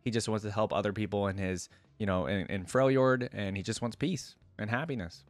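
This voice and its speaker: A man's voice: lowish voice, a little fast, no room sound. A man hosting a reaction video, moving between reading lore aloud and informal commentary as he thinks aloud.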